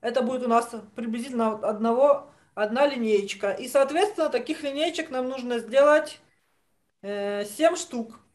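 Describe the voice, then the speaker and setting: A middle-aged woman with a high voice gives an online drawing lesson. She explains each step patiently, pausing and restarting as she instructs children over a video call. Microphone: computer mic on video call.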